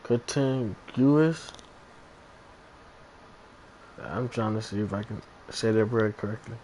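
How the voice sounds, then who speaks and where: A man's voice: lowish voice, moderate pace, a little mellow, slight background noise. A man livestreaming a game, reading dialogue aloud and making casual comments.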